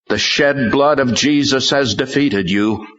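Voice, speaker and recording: A man voicing a bold male pastor who forcefully rebukes a demon. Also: mid-range voice, measured pace, pitched above the performer's own voice, lightly acted. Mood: commanding. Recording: dull lo-fi recording.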